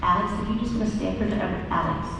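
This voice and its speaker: Loud friendly school leader, firm with children, big echoing hall, distant mic, background noise. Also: medium pitch, very fast, gravelly. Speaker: school administrator leading an awards ceremony. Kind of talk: addressing young children and families at an awards ceremony. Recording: very echoey hall, far from the mic, noisy.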